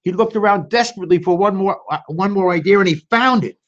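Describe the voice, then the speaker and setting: A man's voice: sits high, fast talker. A man reading a children's story aloud expressively, with casual asides directly to young listeners. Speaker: storytime reader. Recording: dull lo-fi recording.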